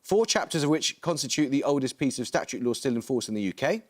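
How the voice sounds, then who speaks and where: Brisk male quizmaster reading questions. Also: mid-range voice, expressive.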